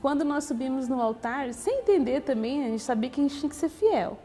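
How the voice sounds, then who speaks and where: A woman's voice: high voice, lively intonation, fast, dark tone. A woman with a Brazilian accent giving a spoken personal testimony. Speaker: church member giving testimony.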